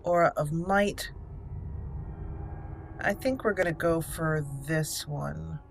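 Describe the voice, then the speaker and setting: A woman doing casual roleplay game commentary as a channel host, thinking aloud deliberately and reading out menu items. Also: low voice, creaky. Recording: noticeable background noise and game ambience.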